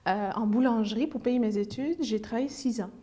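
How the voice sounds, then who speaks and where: Hesitant woman, warm voice. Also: on the high side, fairly quick. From Belgium. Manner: hesitant, many fillers and restarts. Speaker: business owner, interview guest. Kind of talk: interview answers.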